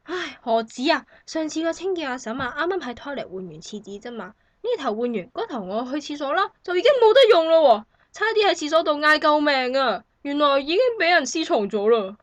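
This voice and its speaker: A woman's voice: sits high, sing-song, a little fast, few pauses, no echo. A woman with a Hong Kong Cantonese accent acting a weary new mother in a satirical radio drama, sighing and complaining. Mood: exasperated. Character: weary, grumbling new mother in a maternity ward.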